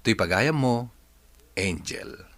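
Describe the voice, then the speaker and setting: A man playing a radio drama's letter reader, addressing the writer in an expressive, friendly way. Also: mid-range voice, slow pace, no echo.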